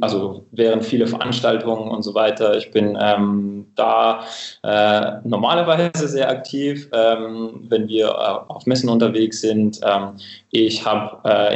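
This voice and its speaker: Low-voiced Englishman, roomy echo. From Cambridge, England. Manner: hesitant, searching for words. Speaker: podcast guest, company spokesman. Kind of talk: casual interview chat. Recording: roomy, echoing remote connection.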